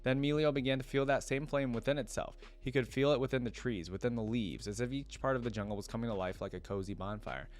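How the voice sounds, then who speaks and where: A man hosting a reaction video, casually commenting, reading aloud and thinking out loud as he explains things. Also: medium pitch, quick pace, hardly any pauses.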